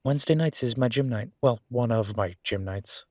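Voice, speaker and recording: An easygoing werewolf boyfriend from an audio roleplay, acted by a man. He has a mid-pitched male voice and chats casually, with a playful, teasing and slightly vain manner. The sound is dry, with no room on it. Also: moderate pace, a little mellow, dry recording, close to an everyday voice. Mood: easygoing, inviting.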